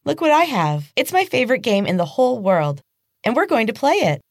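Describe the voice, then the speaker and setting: A woman voicing a little girl in a storybook, a shrill, gushing, childish put-on that hides something evil. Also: sing-song, fairly quick, no room sound, clean studio sound. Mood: excited, eager. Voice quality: shrill, high-pitched whine. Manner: over-the-top childish enthusiasm. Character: evil being posing as a bubbly little girl.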